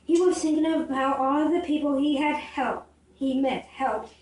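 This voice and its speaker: Female, extremely high voice, halting story narration, echoey room, far from the mic. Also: fairly even intonation, a little mellow. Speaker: storyteller in a puppet show. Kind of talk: scripted storytelling, narrating a fable. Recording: roomy, distant home recording with noise.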